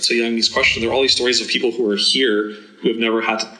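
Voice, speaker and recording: A man's voice: medium pitch, level tone, very fast, pushed and bright, low-quality recording. A man born in Afghanistan and raised in New York, a podcast guest speaking in casual conversation and telling his story in a rambling way full of "like"s. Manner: rambling, filler-heavy storytelling.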